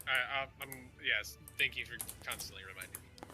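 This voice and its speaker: A man's voice: mid-range voice, fairly quick, sharp tone, faint music behind. A man running a casual tabletop game as game master, narrating and bantering with players.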